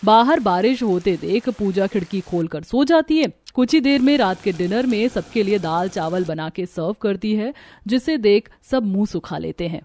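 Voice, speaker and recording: A woman's voice: medium pitch, expressive, normal speed, mellow. A woman storyteller reading scripted narration for a family cartoon.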